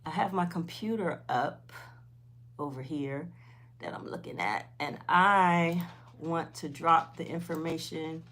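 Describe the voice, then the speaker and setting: A woman's voice: low-pitched, takes it slowly, fairly bright tone. A woman, chatting informally on a livestream and replying to viewers, often repeating herself. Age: 50s. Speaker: host of a weight loss livestream.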